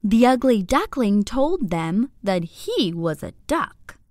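A woman narrating a scripted bedtime story for young children in an expressive, deliberate, clearly phrased way. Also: fairly high pitch, no echo, clean studio sound.

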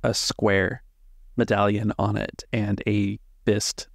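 A man improvising narration as a tabletop game master, in a measured, halting way with drawn-out words. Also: lowish voice, flat delivery, creaky, no room sound, studio quality.

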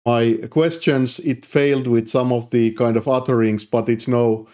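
A man in his thirties with a Finnish accent talks through a coding tutorial from his home office. His voice sits at an ordinary middle pitch, and he explains at an easy pace, pausing on "uh" between thoughts. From Finland. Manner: relaxed, explanatory, frequent "uh" fillers. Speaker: programming YouTuber. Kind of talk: casual screen-recorded coding tutorial.

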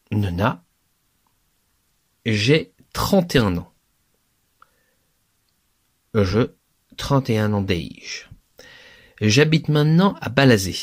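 Husky guy explaining a language lesson, lots of euhs, chatty teacher. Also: fairly low pitch. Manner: explanatory, with frequent fillers and restarts. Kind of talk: recorded voice-over lesson over slides.

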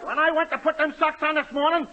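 A man's voice: very high-pitched, steady tone, fast talker, sharp tone, slight background noise. A man playing a meek, henpecked little husband in a 1930s radio comedy sketch, whiny and nervous, then briefly bossy. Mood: blustering. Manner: whiny, nervous, turns blustering. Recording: muffled 1930s radio broadcast.

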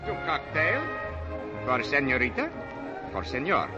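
An actor playing a polite, flustered waiter in a 1940s radio drama. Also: male voice, fairly high pitch, huge pitch swings, a little slow. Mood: polite, puzzled. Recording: muffled 1940s radio, music behind.

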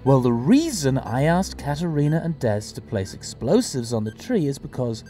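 Guy acting clever quirky time traveller, mellow voice but swoops around, audio drama, music behind. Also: mid-range voice, very animated, moderate pace, natural voice. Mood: wry, explaining.

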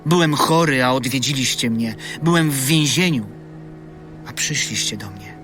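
An actor playing a solemn gospel narrator, measured and declamatory, in a dramatised audio Bible. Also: male voice, medium pitch, fairly bright tone, close to an everyday voice. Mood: emphatic. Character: solemn gospel narrator foretelling a kingly judgement. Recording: music bed under voice.

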